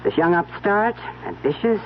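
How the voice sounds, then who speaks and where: A woman's voice: fairly low pitch, sing-song, normal speed, dull audio. An actress playing a ruthless, calculating older mother, insinuating and theatrical in an old-time radio mystery. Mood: sly, calculating. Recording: thin, noisy old radio recording.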